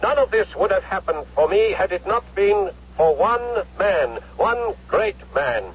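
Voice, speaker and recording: An actor playing a grandiose young Nazi hero, making a solemn declamatory speech in a husky voice in a 1970s radio drama. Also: male voice, high voice, expressive, measured pace, heavily acted. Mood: solemn, proud. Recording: muffled old radio broadcast.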